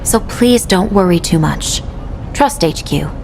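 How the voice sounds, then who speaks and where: A measured headquarters operator. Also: female voice, lowish voice, lively intonation, creaky, noisy background. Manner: measured, official briefing tone. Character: headquarters operator briefing the player. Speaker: mission briefer. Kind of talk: scripted game dialogue.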